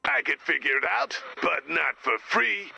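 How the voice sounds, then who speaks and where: A man voicing a ruthless, pompous corporate werewolf in a video game, gravelly and slick, with a radio effect. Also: expressive, unhurried, sharp tone, dry recording, soft background music, exaggerated voice. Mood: slick, scheming. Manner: pompous, slick business pitch. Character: charismatic, ruthless corporate werewolf executive, pompous and jargon-spouting. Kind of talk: video game character voice acting.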